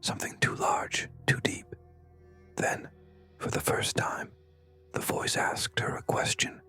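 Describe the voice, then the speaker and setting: Bright, breathy whispering horror narrator. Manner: slow, hushed, suspenseful delivery with pauses. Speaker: narrator of scary stories. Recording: music behind. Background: background music.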